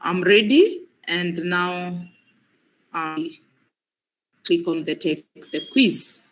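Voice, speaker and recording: Slow-talking woman with a cold. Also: fairly low pitch, rough recording. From Kenya. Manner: patient, instructive, repeats points. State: has a cold, voice breaking. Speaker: online exam trainer. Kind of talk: live training demonstration.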